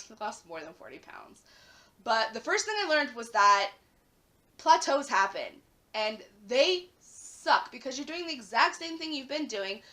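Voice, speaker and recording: Raspy-voiced chatty woman with a bright tone. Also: on the high side. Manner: chatty, lots of "like" fillers, rising emphasis. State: hoarse after flu, coughing. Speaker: vlogger. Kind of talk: casual talk to camera.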